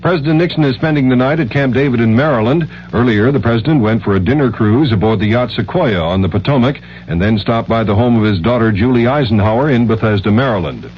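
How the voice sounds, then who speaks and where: Network news anchor: American male, medium pitch, scripted read, muffled 1970s radio with slight hum. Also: fast, few pauses.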